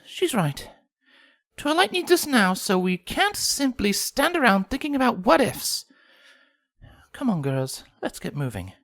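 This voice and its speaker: A man's voice: very high voice, sing-song, measured pace, a bit bright, no room sound, studio quality, pitched above the performer's own voice, full character voice. A man voicing a refined, ladylike female cartoon unicorn with a poised, caring manner. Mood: urging. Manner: poised, genteel, consoling.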